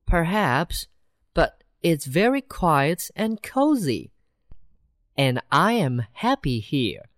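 A humble, cheerful country mouse who is amazed by everything, voiced by a woman reading a storybook. The voice is low and lively in pitch, spoken very slowly, in a close, dry recording. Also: dry recording, exaggerated voice. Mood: welcoming. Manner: slow, wide-eyed, gently excited.

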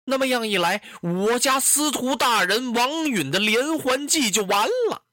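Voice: mid-range voice, expressive, a little slow, sharp tone, no room sound, clean studio sound, pitched above the performer's own voice. A storyteller voicing a young female concubine, tearful, pleading and indignant, in a traditional historical tale. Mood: alarmed, scheming. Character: distressed young beauty, a cunning tearful concubine.

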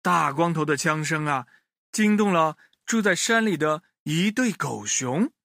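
A man telling a children's story in an expressive way, speaking directly to his young listeners. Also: a little high, unhurried, vocal fry, dry recording, studio quality.

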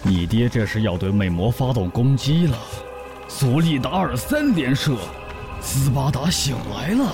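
A man's voice: medium pitch, big pitch swings, unhurried, over music. A man voicing an eager, placating orc husband who gives running commentary in a fan audio drama. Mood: amazed.

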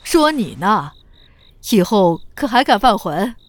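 A woman's voice: medium pitch, big pitch swings, slow, no room sound. A woman playing an affectionate but firm older grandmother and mother-in-law in a Chinese period audio drama, motherly and lightly scolding. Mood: stern. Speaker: voice actor in an amateur audio drama.

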